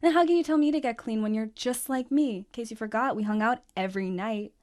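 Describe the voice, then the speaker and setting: A woman's voice: on the high side, fast, darkish tone, close to an everyday voice. A young woman acting in a naturalistic radio drama as a volatile, defensive drug user. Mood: defensive, angry. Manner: combative, defensive, accusatory.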